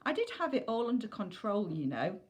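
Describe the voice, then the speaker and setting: A woman's voice: medium pitch, very animated, brisk, lightly acted. A woman reading a storybook as a mischievous young schoolboy with a defensive, excuse-making manner. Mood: indignant. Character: mischievous, sheepish young schoolboy who tinkers with experiments.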